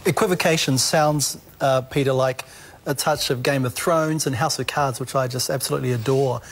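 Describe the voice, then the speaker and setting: A man's voice: mid-range voice, fairly even intonation, moderate pace. A middle-aged man with a New Zealand accent, hosting a chatty morning television interview. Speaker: morning TV host.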